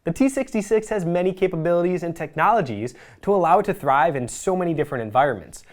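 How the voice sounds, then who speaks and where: A presenter reading a scripted product review in a measured, explanatory way. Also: mid-range voice, expressive.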